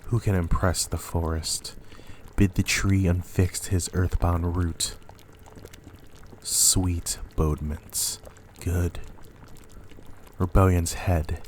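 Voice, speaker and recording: A low-voiced, tormented tyrant king, played by a man, imperious and ruthless. He declaims Shakespearean verse slowly and weightily, with faint noise and effects behind him. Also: little pitch movement, a little mellow. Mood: brooding. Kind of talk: dramatic Shakespeare reading.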